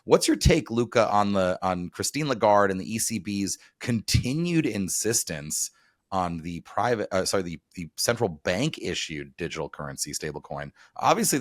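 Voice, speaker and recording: A man with a very deep voice hosts a podcast in a relaxed, chatty way. He leans on casual fillers as he thinks aloud. The recording is close and dry. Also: dry recording. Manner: casual, chatty, many "like" and "you know" fillers. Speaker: podcast host. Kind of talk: podcast interview.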